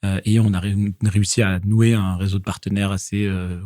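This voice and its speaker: A man's voice: low voice, level tone, a little fast, dark tone. A man in his thirties, originally from southwest France, talking in a casual interview, full of hesitations and restarts. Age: 30s. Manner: hesitant, many fillers and restarts. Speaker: guest, company founder. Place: podcast studio.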